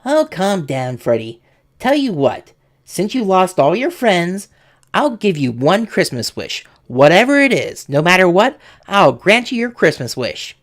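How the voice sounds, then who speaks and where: Woman voicing a cheeky boy, lively and lowish. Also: a little slow. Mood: casual, cheeky. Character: cheeky young boy, wisecracking and confident. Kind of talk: animated comedy skit voice acting.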